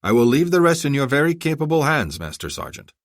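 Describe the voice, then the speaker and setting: A man's voice: fairly high pitch, very animated, fast, no room sound, studio quality, voice pushed up. A man voicing a wily male president and former fighter, courtly and wordy, in an audiobook. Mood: wry.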